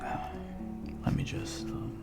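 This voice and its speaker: A man reading game text aloud as an expressive narrator. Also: slow, in a whisper. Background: music.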